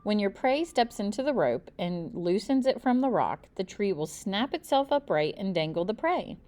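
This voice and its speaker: A woman's voice: medium pitch, expressive, normal speed, a little mellow, close to an everyday voice. A woman reading the part of a confident uncle who instructs children in survival tips, in a children's storybook. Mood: confident, instructive. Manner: emphatic, instructive. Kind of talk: children's storybook read-aloud.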